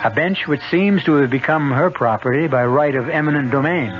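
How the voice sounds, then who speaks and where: A man narrating a radio drama in a scripted, deliberate, wry and suspenseful manner. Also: on the high side, a little hiss or hum. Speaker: narrator, host. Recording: muffled old radio broadcast.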